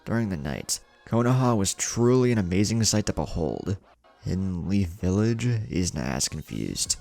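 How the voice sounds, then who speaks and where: A man narrates a scripted story in a deep voice with plenty of gravel. His pitch moves around in an animated way as he reads. Music plays behind him. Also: moderate pace.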